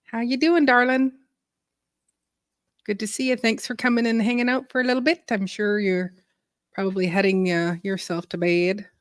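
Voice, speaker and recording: A chatty middle-aged woman hosting a casual live chat, laughing easily and often clearing her throat. Her voice sits at a medium pitch. It is recorded close and dry, with little room sound. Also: level tone, moderate pace, dry recording. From Canada. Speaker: host.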